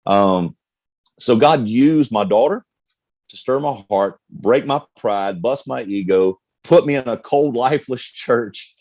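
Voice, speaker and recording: A man's voice: medium pitch, slow pace, darkish tone, no echo. A middle-aged man, a pastor, telling his life story candidly in conversation, with frequent restarts. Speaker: pastor, guest. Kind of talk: personal testimony in conversation.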